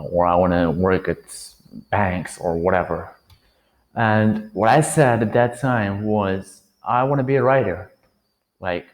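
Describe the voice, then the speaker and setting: A nineteen-year-old man talks straight to the camera without a script, in a voice that sits a little on the low side. He speaks very slowly, pausing often and hunting for words as he glances around to think. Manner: hesitant, many fillers and restarts. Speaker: vlogger. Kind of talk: unscripted talking-head advice.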